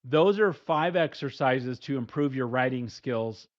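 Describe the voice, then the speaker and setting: A middle-aged man giving steady, plain, numbered advice in a scripted instructional talk. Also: medium pitch, unhurried. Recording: dull lo-fi recording.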